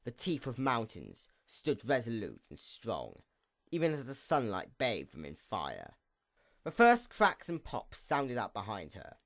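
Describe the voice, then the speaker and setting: A man reading a fantasy story as a narrator, deliberate and dramatic, with pauses for effect. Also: mid-range voice, huge pitch swings, gravelly, fairly bright tone.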